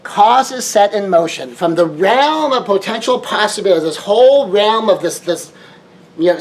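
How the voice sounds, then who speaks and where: A man's voice: sits high, rough recording. A man from Southern California, a life coach, giving a motivational talk with repetitive, emphatic phrasing and shifting tempo.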